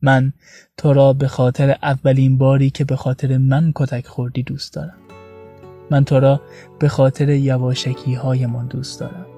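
A man's voice: mid-range voice, little pitch movement, mellow. A man reading scripted, intimate prose expressively, with a tender and wistful delivery. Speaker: podcast narrator. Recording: roomy echo, faint music behind.

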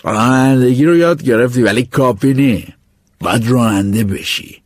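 A man voicing a stern male driving instructor who lectures slowly and gruffly. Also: medium pitch, no room sound, clean studio sound, lightly acted. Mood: lecturing. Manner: slow, lecturing.

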